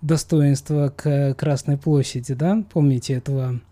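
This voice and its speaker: A man's voice: medium pitch, fairly even intonation, normal speed, mellow. A man speaking as a psychologist guest in a discussion, coaching assertively with emphatic repetition. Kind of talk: conversational expert discussion.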